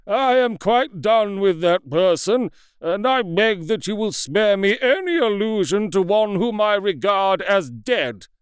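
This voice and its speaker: Male narrator voicing aged doctor, doomed and shaken, strained pleading tone, lively pitch. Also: slow, smooth, dry recording. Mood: agitated pleading. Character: dying elderly male doctor, shaken, despairing.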